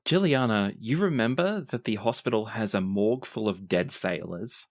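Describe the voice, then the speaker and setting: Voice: medium pitch, expressive, moderate pace, no echo, studio quality. A game master explaining tabletop game rules and narrating scenes to players.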